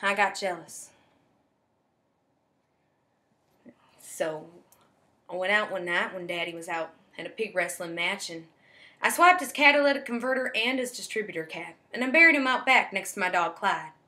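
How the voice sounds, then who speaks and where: Woman acting a bright, folksy backwoods misfit. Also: mid-range voice, brisk, close to an everyday voice. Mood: jealous, matter-of-fact. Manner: folksy, matter-of-fact, confiding. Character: odd backwoods small-town young woman, earnest misfit storyteller. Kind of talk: comic stage monologue.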